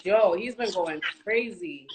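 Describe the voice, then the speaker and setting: A woman speaks as the host of a casual podcast interview. Her wording is informal and slangy, and her voice sits at a middle pitch. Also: takes it slowly, crowd noise. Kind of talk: casual chat.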